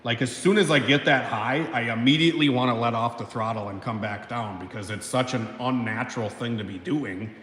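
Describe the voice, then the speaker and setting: A man's voice: mid-range voice, fairly quick, few pauses, fairly bright tone. Rambling man in an echoey garage. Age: 30s. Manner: rambling, swears often, laughs between sentences. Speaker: livestream host reading chat. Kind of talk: casual live-stream chat. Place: home garage, alone by a car lift. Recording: echoey garage with faint background noise.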